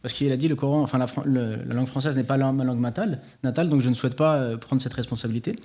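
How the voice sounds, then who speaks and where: A man explaining things as a guest at a talk, quick and eager, often restarting his sentences. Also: medium pitch, dark tone. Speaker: conference guest speaker.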